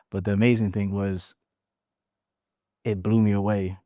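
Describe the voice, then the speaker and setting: Low mellow-voiced man from Hawaii. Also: level tone, unhurried, dry recording, studio quality. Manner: repeats words and restarts, frank and candid. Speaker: podcast guest. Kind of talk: casual interview storytelling.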